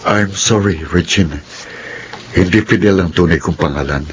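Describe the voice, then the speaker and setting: Low-voiced earnest Filipino suitor. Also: male voice, a little slow, a little hiss or hum. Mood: earnest confession. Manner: pleading, sweet-talking, Taglish. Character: earnest young suitor, apologetic, tender, playfully teasing. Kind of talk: romantic Filipino radio drama acting. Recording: music bed under dialogue.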